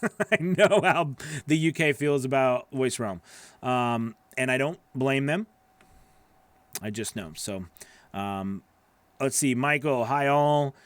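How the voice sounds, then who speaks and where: A man around his forties chatting casually as a livestream host and coach, animated and emphatic, speaking close into a studio microphone. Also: mid-range voice, slow, long pauses, dry recording. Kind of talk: casual livestream chat, answering viewer comments. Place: small home studio with acoustic foam. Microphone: broadcast microphone on a boom arm, up close.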